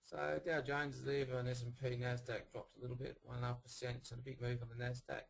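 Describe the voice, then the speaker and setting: A man with a hoarse voice he says he is losing, teaching students with clipped, thinking-aloud commentary on market charts. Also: medium pitch, level tone, fast talker. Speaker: trading instructor. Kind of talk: live market analysis for students.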